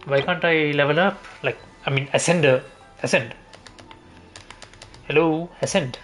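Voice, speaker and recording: A man's voice: a little high, unhurried, fairly bright tone. Man casually commentating over game music. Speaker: game streamer. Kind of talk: casual gameplay commentary, thinking aloud. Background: video game music.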